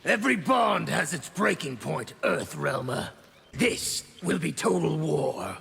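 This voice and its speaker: A man's voice: a little high, big pitch swings, slow pace, exaggerated voice. A man playing a fanatical, hostile soldier with a strained, snarling voice in fighting-game dialogue. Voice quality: harsh, gravelly, strained. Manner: forceful, snarling. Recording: long reverberant hall echo.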